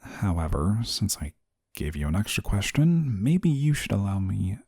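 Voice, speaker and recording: A man playing an exasperated, rule-bound but soft-hearted guardian angel in a scripted audio roleplay, scolding in a weary, formal way. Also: fairly low pitch, big pitch swings, normal speed, slightly rough, no echo, studio quality, full character voice. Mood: stern, grudging. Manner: weary, scolding, formal wording, sighs often.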